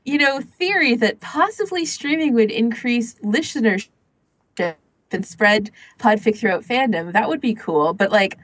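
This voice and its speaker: A woman's voice: a little high, animated, unhurried, a bit bright, no echo. A woman hosting a podcast in casual chat, speaking in an informal, conversational way. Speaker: podcast host.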